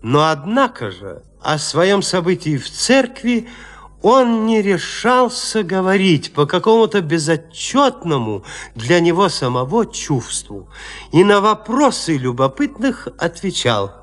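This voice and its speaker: A man narrating a classic horror tale in an audio play, with theatrical, expressive delivery. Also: high voice, measured pace.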